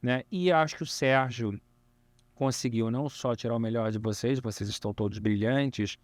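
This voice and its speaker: A man with a Brazilian accent, interviewing conversationally as a podcast host, effusive and quick to interject. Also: mid-range voice, no room sound.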